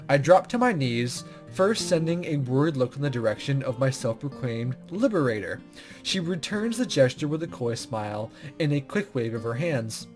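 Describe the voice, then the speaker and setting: A man's voice: mid-range voice, darkish tone. A man hosting a let's play, reading visual novel text aloud with casual commentary and stumbling over words as he goes.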